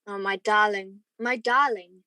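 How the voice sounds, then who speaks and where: A woman playing an earnest, lovestruck young gentleman who narrates his romance in a radio play. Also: mid-range voice, steady tone, unhurried, dry recording. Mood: tender, ardent.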